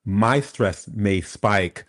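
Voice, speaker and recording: An American man with a fairly low voice hosts a talk show. He speaks in a conversational way, often interjecting and repeating his phrases to stress a point. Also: extremely slow, fairly bright tone. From United States.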